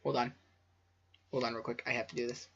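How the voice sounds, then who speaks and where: A man's voice: medium pitch, level tone, brisk, a bit bright, slightly rough. A man reading a story aloud with casual joking asides, stumbling over words and rambling self-deprecatingly. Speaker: amateur fanfiction reader. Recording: muffled lo-fi home recording.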